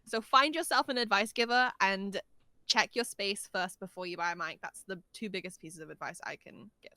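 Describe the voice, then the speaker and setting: A woman's voice: mid-range voice, moderate pace, pushed and bright, no echo. A woman chatting casually and effusively as a podcast guest, with a giggly, talkative manner. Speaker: guest voice actor.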